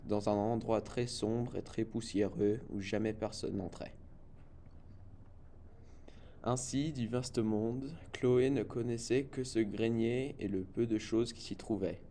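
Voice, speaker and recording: Young man reading a storybook, mellow and noisy. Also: medium pitch. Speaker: student reader. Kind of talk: read-aloud children's storybook narration. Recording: noticeable background noise.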